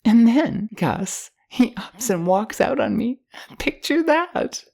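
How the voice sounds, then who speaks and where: A woman's voice: a little high, sing-song, takes it slowly, vocal fry, a little mellow, voice pushed up, exaggerated voice. A woman voicing a flippant, boozy young dancer from a 1930s story, with slangy, teasing talk. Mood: coaxing, breezy.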